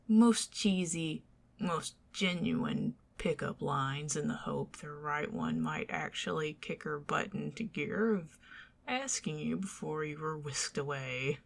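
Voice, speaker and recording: Low husky Southern woman as a bashful dragoness. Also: full character voice. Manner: soft, intimate, drifting into whispers; self-deprecating, joking, flustered. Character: shy, affectionate young dragoness on a dinner date. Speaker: ASMR performer. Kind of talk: ASMR roleplay.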